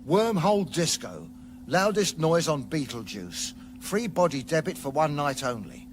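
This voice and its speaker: An actor playing a gruff, world-weary, sardonic space traveller who answers grudgingly in a radio comedy. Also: male voice, fairly high pitch, very animated, unhurried, a little hiss or hum. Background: music.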